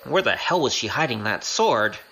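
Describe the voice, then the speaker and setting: A woman's voice: very deep voice, expressive, moderate pace, a bit bright, soft background music, exaggerated voice, poor audio. A woman playing a wry, flippant young male rogue in an audio drama. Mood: exasperated.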